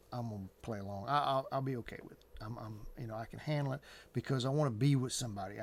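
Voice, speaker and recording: A man talking casually and earnestly to viewers as an advice host, in a halting, repetitive, colloquial way. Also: mid-range voice.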